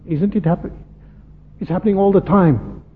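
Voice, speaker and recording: Preacher in a reverberant hall. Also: male voice, high-pitched, moderate pace, breathy edge. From South Africa. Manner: emphatic, rhetorical questioning and repetition. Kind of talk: impassioned sermon. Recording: muffled, reverberant hall with background noise.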